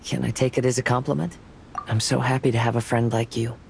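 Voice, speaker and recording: A man voicing a gentle, reflective young wandering swordsman in a video game, soft-spoken, calm and polite. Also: medium pitch, creaky, a little hiss or hum, lightly acted, rough recording. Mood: serene. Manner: calm, polite, measured phrasing. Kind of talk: video game character voice acting.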